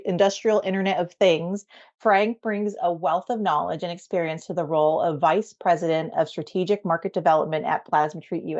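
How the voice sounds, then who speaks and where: A woman hosts a podcast in a fairly low voice. She reads an introduction steadily and then moves into easy conversation. Her audio sounds somewhat dull and muffled. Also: darkish tone. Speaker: podcast host. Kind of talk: podcast hosting.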